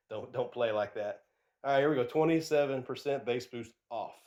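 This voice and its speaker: A man's voice: medium pitch, normal speed, a little mellow. A man doing a casual product review, congested and nasal from a cold, talking in a loose, rambling way with frequent restarts.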